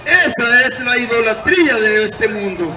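High-pitched Salvadoran man preaching from afar. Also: lively intonation. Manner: declamatory, in short deliberate phrases. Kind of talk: open-air sermon, explaining scripture. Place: outdoor gazebo in a public town square. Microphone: far camera. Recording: distant, echoey, noisy outdoor recording with crowd. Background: traffic and crowd noise.

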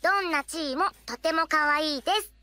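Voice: sits high, brisk, sharp tone. An actor playing an earnest, fond little boy who narrates a children's cartoon in a careful, childlike way. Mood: fond, proud. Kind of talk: children's cartoon narration, diary read aloud. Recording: background music over the voice.

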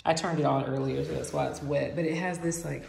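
Young woman, low-pitched and chatty; phone-held tour recorded in bare, echoing rooms. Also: fairly even intonation, a little fast, creaky, a little mellow, slight background noise. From American South. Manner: chatty and enthusiastic, many "like"s. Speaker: vlogger giving a home tour. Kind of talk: casual walk-through narration. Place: empty house with bare rooms, indoors. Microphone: phone camera held while walking. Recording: echoey empty rooms.

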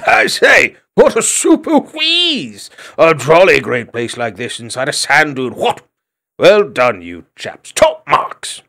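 A jolly, plummy hare with upper-class exclamations, as a man plays him. His voice swoops wildly from high squeaks to low drawls in this storybook reading. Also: huge pitch swings, slow pace, creaky, bright, forceful tone, heavily acted. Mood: delighted. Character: posh jolly young male hare.

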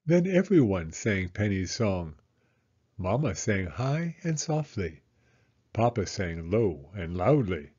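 A man narrating a children's story as a storyteller, in a measured, expressive manner. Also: lowish voice, breathy edge, dull audio.

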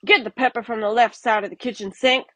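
A woman's voice: on the high side, steady tone, fast talker, pushed and bright, no echo, pitched above the performer's own voice. A woman voicing a grumpy male varmint with a nasty temper in a children's storybook, snarling and barking orders. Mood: barking, irritated. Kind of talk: children's storybook read-aloud.

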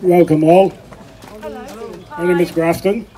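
An older man with a Barbadian accent, born in Barbados, giving a speech to an outdoor rally through a handheld microphone and loudspeaker, addressing the crowd with pauses and restarts. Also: sits high, flat delivery, heavy background noise. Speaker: rally speaker.

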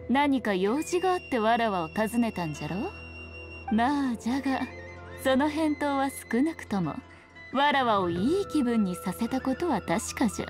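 A sly, ageless fox shrine priestess in an anime game. Her voice is fairly high, with haughty, teasing, old-fashioned phrasing, over clear background music. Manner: archaic, imperious, teasing phrasing.

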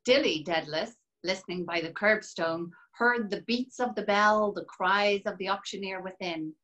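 A woman narrating in measured tones. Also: mid-range voice, fairly bright tone. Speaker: actor and reader. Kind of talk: dramatic literary reading. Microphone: video call.

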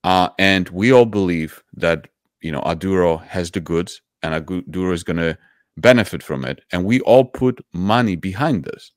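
A man's voice: low-pitched, normal speed, no echo, studio quality. A man on an investing panel over a video call, speaking emphatically and persuasively, repeating phrases for effect, on a headset. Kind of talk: panel discussion. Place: home room, video call.

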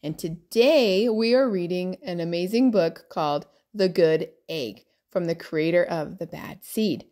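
Slow, expressive woman hosting story time. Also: on the low side. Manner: expressive, drawn-out emphasis. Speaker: children's story time host. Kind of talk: children's read-aloud, addressing young listeners.

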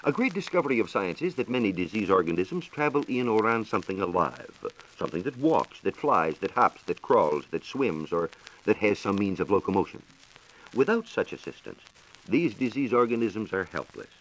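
Animated 1940s American radio science reporter, male. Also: on the low side, normal speed, rough recording. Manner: formal, explanatory, declamatory. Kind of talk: 1940s scripted educational radio talk. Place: radio studio. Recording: hissy 1940s radio transcription disc.

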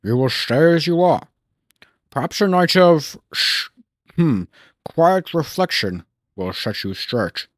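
A man voicing a stern, disapproving railway boss, measured and authoritative, in a children's storybook. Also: fairly high pitch, lively intonation, no room sound, pitched above the performer's own voice. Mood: stern, reproving.

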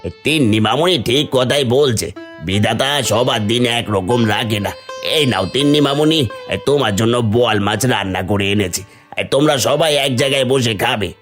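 A man plays a village uncle in a cartoon folk tale. His mid-pitched voice is plain-spoken and familiar, with light music underneath. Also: fairly quick, fairly bright tone, close to an everyday voice. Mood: kindly. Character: village uncle, blunt but kind-hearted.

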